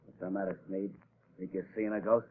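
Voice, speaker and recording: A man's voice: low voice, flat delivery, a little fast, slight background noise, close to an everyday voice. An actor playing a sneering gangster in a 1930s radio drama, talking tough-guy slang, on a tinny old broadcast recording. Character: gruff male gangster, menacing, sneering.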